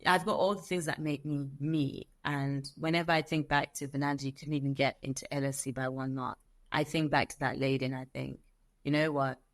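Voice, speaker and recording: Guest: young Zimbabwean-accented woman, low voice, lively storyteller. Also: a little fast. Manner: animated storyteller, acts out quoted voices. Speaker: guest, lawyer. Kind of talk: conversational interview.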